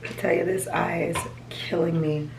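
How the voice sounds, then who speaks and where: A woman with a raspy, allergy-hoarse voice, chatting casually to her viewers at home, rambling as she shows her planner. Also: fairly low pitch, steady tone, normal speed, reverberant, background noise. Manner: rambling, chatty, talks to viewers as "you guys". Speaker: vlogger. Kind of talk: casual chat. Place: home, at a desk.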